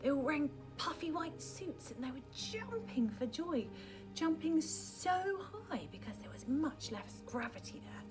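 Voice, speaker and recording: A woman reading a picture book aloud for young children, dramatic and expressive, often dropping into a hushed, breathy tone. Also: high voice, a little slow, music in the background.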